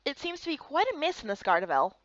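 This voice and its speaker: A woman's voice: high voice, sing-song, fast talker, dry recording, muffled recording. A woman voicing a puzzled, polite young newcomer in a visual novel game. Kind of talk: voiced playthrough of fantasy visual novel.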